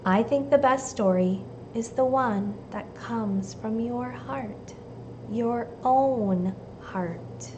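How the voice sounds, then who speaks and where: Woman reading as a gentle, slow-speaking storybook mother. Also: fairly high pitch, muffled recording, background noise. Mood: tender. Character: gentle, caring mother giving heartfelt advice.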